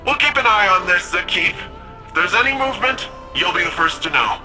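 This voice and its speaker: Wry game ally, friendly, tech-savvy, chatty, lively swinging intonation, highish forward bright voice, faint music underneath. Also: male voice, a little fast. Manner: breezy, chatty, quippy. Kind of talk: video game character dialogue.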